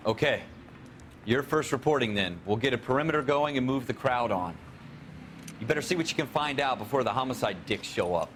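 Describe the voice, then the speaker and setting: Lively wisecracking American patrol cop actor. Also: male voice, mid-range voice, brisk, slight background noise, close to an everyday voice. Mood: businesslike. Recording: music under voice.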